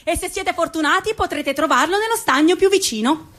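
A woman playing an imperious, excitable storybook character in theatrical comic radio storytelling, declamatory and exaggerated. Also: extremely high pitch, fairly quick. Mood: mischievous narrating.

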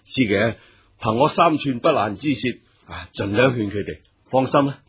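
Sidekick for radio drama: very raspy Cantonese voice, fawning, agreeable, eager right-hand man. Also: medium pitch, normal speed. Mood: eager deference. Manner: flattering, deferential, agreeable. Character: obsequious male henchman, eager smooth-talking right-hand man.

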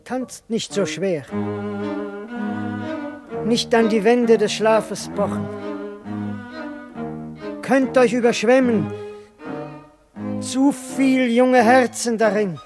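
An eerie visionary man with an extremely high voice. He chants slow, repetitive warnings in poetic radio-drama style, with strings playing clearly behind him. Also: over music, exaggerated voice. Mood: urgent, visionary.

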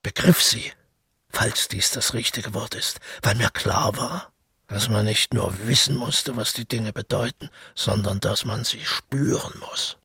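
A male actor playing a brooding, self-doubting former stage actor in a radio drama monologue, musing haltingly in a husky, breathy voice. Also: fairly low pitch, bright, forceful tone, no echo, clean studio sound, exaggerated voice. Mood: musing pride. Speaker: narrator and lead of a radio play. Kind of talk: intimate first-person radio drama monologue.

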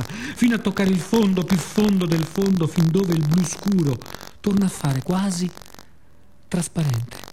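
Mellow-voiced man performing a dramatic monologue. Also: sits high, a little hiss or hum, rough recording. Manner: dramatic, deliberate phrasing with pauses and repetitions. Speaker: actor performing own monologue. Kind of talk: theatrical poetic radio monologue.

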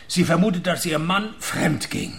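A man playing a dry-witted, unflappable private detective in a radio play, deadpan and sardonic. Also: high-pitched, normal speed, gravelly, slight background noise. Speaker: narrator and lead character. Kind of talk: radio play acting with first-person narration.

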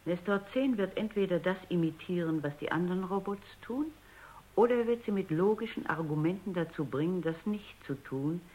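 A woman's voice: lowish voice, animated, fairly quick, a little mellow, lightly acted. An actress playing a stern, analytical woman scientist in a radio drama, speaking with precise, controlled authority. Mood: resigned, analytical. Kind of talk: radio drama narration and dialogue. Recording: dull, lo-fi radio drama recording.

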